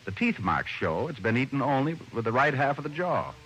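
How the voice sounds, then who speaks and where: An actor playing a determined, quick-witted private detective with dry humor and a brisk, assured manner in an old-time radio drama. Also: male voice, mid-range voice, very animated, a bit bright, slightly rough, rough recording. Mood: earnest, persuasive.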